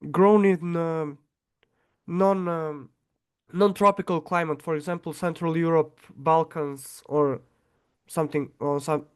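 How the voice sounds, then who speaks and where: Serbian-accented man with a smooth, higher voice. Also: steady tone. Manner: halting, searching for words. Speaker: audience member asking questions.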